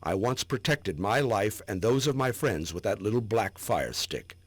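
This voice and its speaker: A man's voice: on the low side, expressive, moderate pace, dry recording. A man giving a dramatic reading as a proud war veteran looking back on his service. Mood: wistful. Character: proud, remembering male Vietnam war veteran. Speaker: reader.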